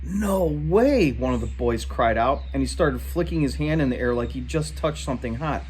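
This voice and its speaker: A man reading a story aloud in an animated way. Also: medium pitch, fairly quick, slight background noise. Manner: animated, acts out dialogue in shouts. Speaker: reader. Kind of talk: read-aloud story narration.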